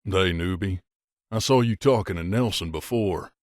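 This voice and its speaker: A man's voice: sits low, normal speed, dry recording, clean studio sound, natural voice. A man playing a middle-aged mercenary bodyguard in a video game, a blunt and guarded loner who talks in a terse, matter-of-fact, aloof way. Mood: wary, dismissive. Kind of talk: video game character dialogue.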